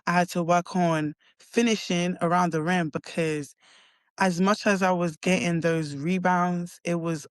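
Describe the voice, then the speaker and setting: A woman's voice: lowish voice, steady tone, measured pace, dry recording, clean studio sound. A young woman with a London accent, chatting as a guest in an interview and talking hesitantly, with lots of likes and restarts. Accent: London English. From London, England. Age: young adult. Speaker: college basketball player guest. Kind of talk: casual interview chat.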